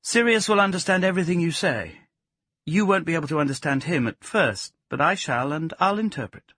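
A man voicing an earnest young English woman, brisk and emphatic yet tender, in a storybook reading. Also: fairly high pitch, animated, a bit bright, dry recording, clean studio sound, pitched above the performer's own voice. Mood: brisk, practical.

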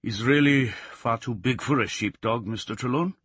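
A man's voice: mid-range voice, sing-song, moderate pace, a bit bright, slightly rough, no room sound, clean studio sound. A man voicing a cheerful middle-aged Welsh sheep farmer with a singsong Welsh accent. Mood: jovial. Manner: lilting, singsong.

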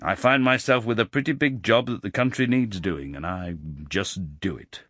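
A man's voice: fairly low pitch, normal speed, a bit bright, no room sound, clean studio sound. A man voicing a middle-aged businessman, blustering and trying not to sound condescending.

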